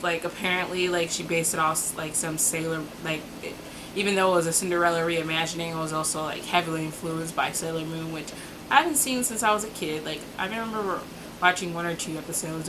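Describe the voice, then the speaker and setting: A woman giving a casual, chatty book review in informal, slangy phrasing, with exasperated asides and drawn-out emphasis. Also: fairly low pitch. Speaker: book vlogger. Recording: noticeable background noise.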